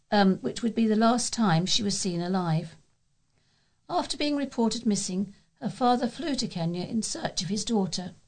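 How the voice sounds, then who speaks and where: A woman's voice: mid-range voice, creaky, darkish tone. A woman reading scripted local news as a volunteer newsreader.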